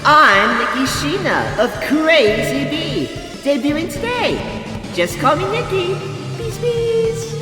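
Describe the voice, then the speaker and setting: Woman as a put-upon anime idol boy, high voice, whiny, exaggerated, lively swoops, reverberant with music. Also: takes it slowly. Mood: perky. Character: put-upon, whiny young male idol who turns perky. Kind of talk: anime fandub acting. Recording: echoey reverb, music behind.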